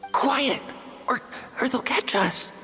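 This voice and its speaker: A woman voicing a frightened little tanuki creature in a video game, halting and stammering. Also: high voice, bright, forceful tone, in a large hall, soft background music, heavily acted. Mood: fearful.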